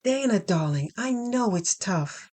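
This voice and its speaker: A woman's voice: medium pitch, animated, unhurried, dark tone, pitched above the performer's own voice. A woman playing a kindly, encouraging female reverend who speaks soothingly in an audio drama. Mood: gentle, consoling. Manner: soothing, reassuring. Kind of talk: audio fiction acting.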